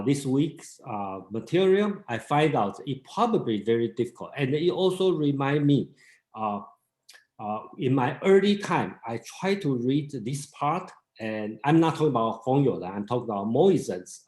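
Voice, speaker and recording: Male group leader, Mandarin native, halting delivery, roomy echo. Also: mid-range voice, animated, darkish tone. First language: Mandarin Chinese. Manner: halting, many restarts and fillers. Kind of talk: casual seminar talk.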